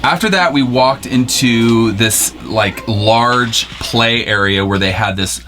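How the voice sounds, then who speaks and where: A man's voice: mid-range voice, takes it slowly, a bit bright, slight background noise. A man giving casual, conversational vlog narration. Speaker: vlogger.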